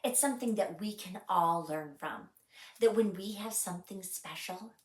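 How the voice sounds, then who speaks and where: A woman hosting a children's story time, reading aloud with deliberate, expressive phrasing, a little away from the microphone. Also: mid-range voice, echoey room, distant mic.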